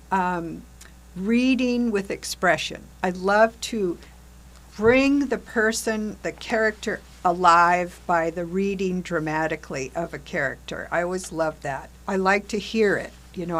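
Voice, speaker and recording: An older woman, a former teacher and group facilitator, interviewing a fellow member and sharing her own memories in a friendly way. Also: lowish voice. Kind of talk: casual interview talk.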